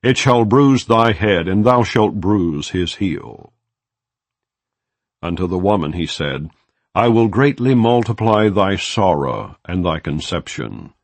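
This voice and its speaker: A man giving a measured, solemn reading of scripture in an unhurried narrating style. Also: deep voice, animated, dry recording. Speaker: Bible reader.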